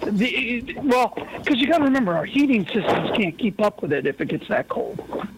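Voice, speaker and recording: A man's voice: extremely high pitch, very animated, moderate pace, background noise. A man chatting casually as a radio guest in a joking, conversational way. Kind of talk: casual chat. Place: radio studio.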